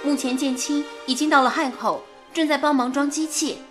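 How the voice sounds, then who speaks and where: A woman's voice: high-pitched, fairly even intonation, normal speed, music in the background, natural voice. An actress playing an earnest young woman working at a wartime radio station, in radio drama dialogue. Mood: earnest, concerned.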